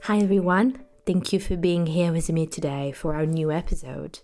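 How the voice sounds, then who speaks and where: Low-voiced woman hosting a podcast. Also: flat delivery, normal speed, mellow, soft background music. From France. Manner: earnest, with frequent "you know" and "um". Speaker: podcast host. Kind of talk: reflective podcast monologue.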